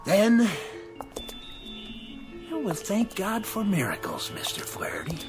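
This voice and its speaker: An actor playing a courtly, friendly, tipsy gentleman in a radio drama, with music behind him. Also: high-pitched, wildly swooping pitch, takes it slowly, heavily acted. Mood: wondering.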